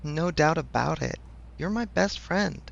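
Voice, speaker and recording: A man's voice: a little high, unhurried, gravelly, muffled recording, exaggerated voice. A man playing a supportive best friend in a casual audio roleplay, speaking frankly with affectionate teasing. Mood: warm, affectionate. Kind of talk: ASMR audio roleplay, conversational.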